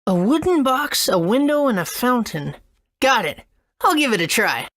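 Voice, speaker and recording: A woman's voice: a little high, very animated, normal speed, fairly bright tone. A woman voicing an eager, good-natured young boy hero in a video game, earnest and agreeable.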